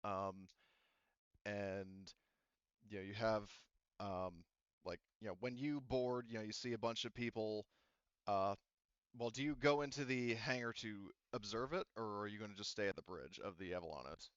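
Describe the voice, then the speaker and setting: A man with a smooth, slightly low voice works as game master in a tabletop session. He improvises his narration in a casual, halting way. The recording is close and dry but a little dull. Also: a bit bright, dry recording. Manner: halting, searching for words.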